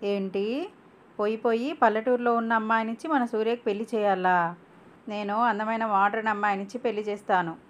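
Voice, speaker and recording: A woman plays a middle-aged mother in a cartoon family tale. She is proud and bossy, speaking assertively at an ordinary female pitch. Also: steady tone, a little fast, natural voice. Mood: insistent, proud. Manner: assertive, dismissive to husband. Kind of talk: cartoon moral story voice acting.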